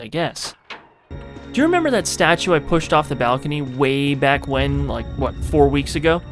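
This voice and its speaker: High-pitched man narrating a game, theatrically. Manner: theatrical, self-interrupting asides to the viewer; casual, profane, jokey. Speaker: video game retrospective narrator. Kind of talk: dramatized scripted game playthrough narration.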